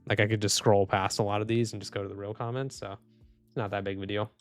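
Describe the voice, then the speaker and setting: A man live streaming and reading chat, speaking softly and calmly with frequent restarts. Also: fairly low pitch, fast talker. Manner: soft-spoken, calm, trailing off with restarts and small laughs. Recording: dry close mic, faint music underneath.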